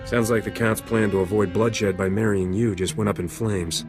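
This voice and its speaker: An actor playing a cocky, flirtatious smuggler captain in a video game, swaggering and glib with a teasing delivery. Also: male voice, lowish voice, steady tone, moderate pace, over music, natural voice. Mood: firm, earnest. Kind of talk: scripted video game dialogue.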